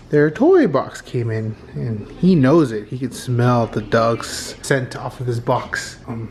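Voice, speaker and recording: A man's voice: mid-range voice, a little mellow. A man chatting casually about everyday life in a home vlog. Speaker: vlogger.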